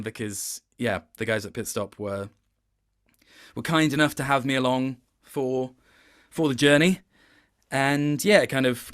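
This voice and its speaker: A man's voice: mid-range voice, fairly bright tone. A man talking as a voice actor guest in a casual interview, telling anecdotes in a hesitant, rambling, appreciative way.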